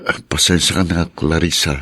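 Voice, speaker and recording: A Filipino man playing an earnest, lovestruck suitor in an audio drama, with a gravelly, husky voice. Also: a bass voice, fairly even intonation, normal speed, sharp tone, dry recording, exaggerated voice. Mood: tender, earnest. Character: Alberto, lovestruck earnest man, hesitant then resolved.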